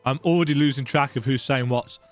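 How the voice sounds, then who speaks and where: A man's voice: medium pitch, fairly quick, soft background music. A man hosting a let's play, giving casual, rambling, conversational commentary on a video game.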